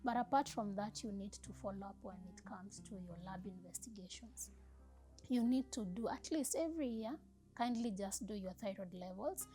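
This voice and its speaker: A woman health professional walks through treatment advice in a calm, measured way, stressing each point by repeating it. Her voice sits in a middle pitch. Soft music plays faintly behind her. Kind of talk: explaining medical advice.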